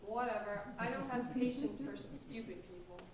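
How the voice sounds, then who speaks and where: A woman's voice: on the high side, normal speed, darkish tone, lightly acted. Echoey, snobbish sarcastic teenage girl played by a woman. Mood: scornful. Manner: sarcastic, dismissive. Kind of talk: staged reading of a play. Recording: distant echoey room, faint noise.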